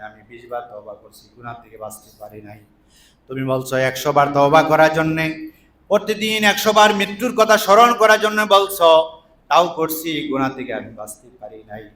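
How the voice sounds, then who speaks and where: A man preaching a story sermon, swinging between dramatic drawn-out calls and pleading phrases. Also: on the high side, fairly bright tone. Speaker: Islamic preacher. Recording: echoing PA-style sound.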